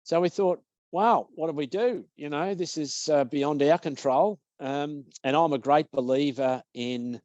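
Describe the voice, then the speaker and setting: An Australian man in his sixties from Victoria, a business-owner guest telling anecdotes in a relaxed, jokey way. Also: on the high side, measured pace, no echo, lo-fi sound. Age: 60s. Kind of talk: casual interview storytelling.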